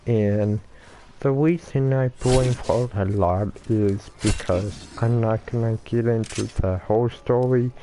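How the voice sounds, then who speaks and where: A man giving casual gameplay commentary in a halting, stuttering way, with many restarts and fillers. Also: medium pitch, noisy background.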